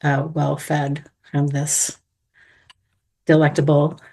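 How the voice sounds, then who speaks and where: A woman with a notably deep voice talks very slowly, wandering through her thoughts and circling back to find the right words. She is a podcast guest on a remote call, in a relaxed conversational manner. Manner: meandering, thoughtful, searching for words. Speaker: guest, astrology student.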